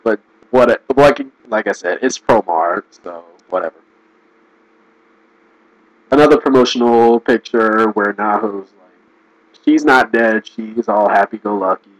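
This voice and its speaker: A man giving casual, rambling commentary, restarting sentences and laughing often, his voice going hoarse. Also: lowish voice, unhurried, pauses often, no echo, rough recording. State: losing his voice. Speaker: video commentator.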